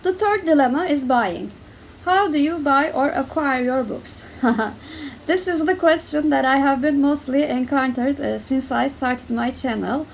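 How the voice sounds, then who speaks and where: High, gravelly woman with a Turkish accent. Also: a little slow, slight background noise, low-quality recording. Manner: hesitant, many fillers and restarts, laughs often. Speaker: book vlogger. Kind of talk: casual chat to camera.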